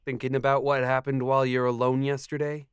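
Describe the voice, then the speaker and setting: An inquisitive, easily astonished traveler, acted by a man, in a fantasy audio drama. His voice has an average male pitch and is recorded very dry. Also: little pitch movement, a little fast, clean tone, dry recording, natural voice.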